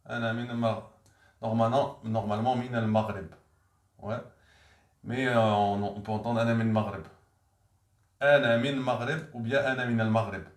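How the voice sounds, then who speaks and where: A man teaching a language lesson, talking unscripted and correcting himself often with many fillers. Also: mid-range voice. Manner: unscripted, halting with frequent fillers and self-corrections. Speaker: Arabic teacher. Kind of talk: language lesson, thinking aloud. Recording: echoey room.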